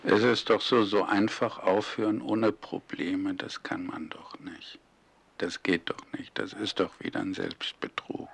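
A man's voice: low-pitched, flat delivery, unhurried, creaky, husky, in a whisper. A man conducting a serious documentary interview, putting direct, probing points and questions.

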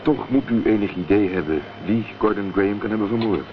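A man's voice: lowish voice, a little fast, crowd noise, lightly acted. A man playing a polite, probing private detective in a Dutch radio drama. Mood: smooth, probing. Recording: dull, noisy old radio recording.

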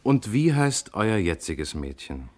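An actor playing a calm, probing investigator in a German radio crime play, matter-of-fact and measured. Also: male voice, on the low side.

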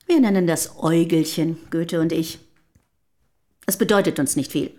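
Actress as a proud, measured middle-aged wife. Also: female voice, low-pitched, dark tone, natural voice. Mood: dismissive, assured. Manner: measured, formal period diction. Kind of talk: German radio play dialogue.

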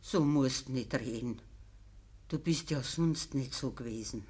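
A frail old Bavarian farmer's wife in a radio drama. Her voice is breathy and husky, and she speaks slowly in rural dialect, gentle and resigned, like a mother who quietly pleads. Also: extremely low pitch, exaggerated voice. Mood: weary, resigned. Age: older. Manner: slow, plain rural dialect speech. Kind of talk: naturalistic radio drama acting.

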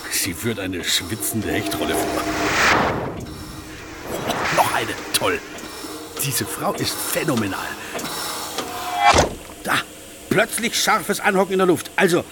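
A man's voice: a little high, very noisy. An actor playing an effusive, gallant male railway engineer in a satirical radio play, gushing in florid, formally polite phrases. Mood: excited awe.

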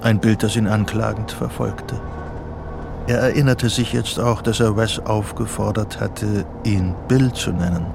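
An actor as the narrator of a psychological thriller, reading scripted radio drama narration. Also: male voice, lowish voice, steady tone, measured pace, gravelly, music in the background. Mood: sober.

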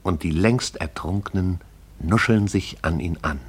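A man narrating a poetic radio play in a husky, hushed, incantatory and intense way. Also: sits low, a little slow, a little hiss or hum.

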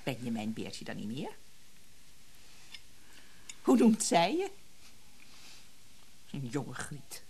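An actress playing a jealous, mocking wife in a radio play, cutting and scornful. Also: female voice, very deep voice, huge pitch swings, slow, long pauses, mellow, slight background noise, exaggerated voice.

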